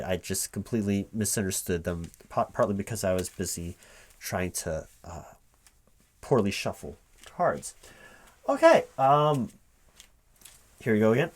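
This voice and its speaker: A man playing a polite, flustered hiring manager in an improvised interview roleplay, speaking aloud in a halting, rambling, stammering way. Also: lowish voice, lightly acted. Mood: awkwardly polite. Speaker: recruiting manager in a one-sided roleplay.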